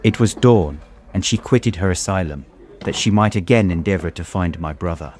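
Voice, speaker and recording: An actor playing a guilt-stricken gentleman who narrates his torment in a grave, formal, literary manner for an audiobook. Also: low voice, moderate pace, natural voice. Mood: somber.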